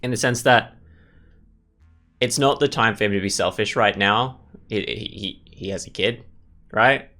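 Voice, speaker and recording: A man with a fairly low voice hosts a casual gaming session. He muses tentatively, piecing thoughts together in fragments, with music playing behind him. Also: a little slow, pauses often, sharp tone. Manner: musing, tentative, thinking aloud in fragments. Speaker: gaming host. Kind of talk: casual let's play commentary.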